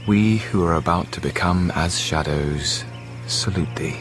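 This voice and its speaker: A British actor playing a weary, reflective deposed Roman emperor in a radio drama, speaking in a measured way. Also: male voice, deep voice, loud music behind the voice. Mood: solemn, elegiac.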